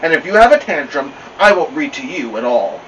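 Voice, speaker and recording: A man voicing an earnest, responsible schoolgirl big sister in a storybook, easily exasperated and quick to protest. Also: fairly high pitch, sing-song, fairly bright tone, distant mic, slight background noise, voice pushed up, low-quality recording. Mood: firm.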